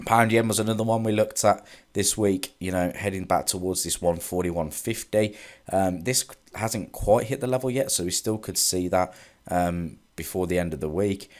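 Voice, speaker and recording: A man's voice: sits low, normal speed. A man with a cold and a congested voice, casually going over trading charts with frequent ums and restarts. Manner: relaxed, hesitant, many ums and restarts. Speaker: forex trading analyst. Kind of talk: casual market recap with charts.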